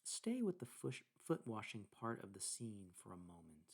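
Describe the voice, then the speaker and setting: A man leading a guided meditation, speaking deliberately in short phrases with pauses between them. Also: on the low side, wildly swooping pitch, vocal fry, darkish tone, husky. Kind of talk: guided meditation narration with scripture reading.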